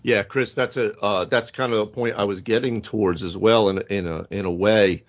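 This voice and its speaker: A man's voice: on the low side, fairly even intonation, normal speed. A man co-hosting a casual gaming podcast, rambling emphatically with plenty of hyperbole. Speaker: podcast co-host, game master. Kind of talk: casual tabletop gaming discussion. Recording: dull lo-fi audio.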